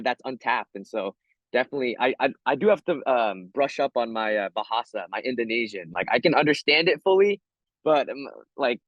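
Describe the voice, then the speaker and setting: A man's voice: medium pitch, dry recording, dull audio. An American man, a fighter being interviewed, chatting casually in slang and often repeating himself. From Hawaii, USA.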